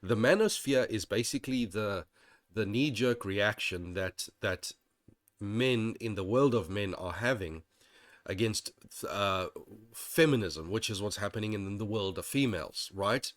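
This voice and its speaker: A man hosting his own channel, rambling and digressive as he talks through his thoughts. He sounds a little nasal, still recovering from being ill, with a lowish voice. The recording is dry and close. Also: unhurried, no echo. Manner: rambling and digressive, with frequent restarts. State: recovering from illness, slightly nasal; occasional coughing. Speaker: channel host. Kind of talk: casual commentary monologue.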